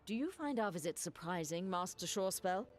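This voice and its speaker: An actress playing a blunt, resentful adventurer with dry wit in a video game cutscene. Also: female voice, fairly low pitch, animated, fairly quick, faint music behind, natural voice.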